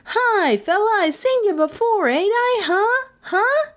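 A woman's voice: extremely high pitch, lively intonation, slow pace, voice pushed up, full character voice. A woman voicing a joyous, rambling male drunk in a crime story, slangy and repetitive. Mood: chummy drunk. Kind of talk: storybook character voice in crime fiction.

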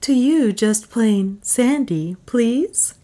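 A narrator puts on a starry-eyed woman office worker from a comic story. She is breathy and fawning, with a raised, lilting voice that gushes in easy adoration. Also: on the high side, expressive, extremely slow, warm tone, pitched above the performer's own voice. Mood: smitten.

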